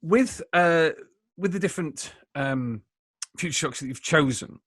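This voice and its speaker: A man hosting a podcast, putting casual interview questions in a hesitant, halting way. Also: fairly high pitch, lively intonation, fairly bright tone, husky, dry recording. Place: indoors, remote call.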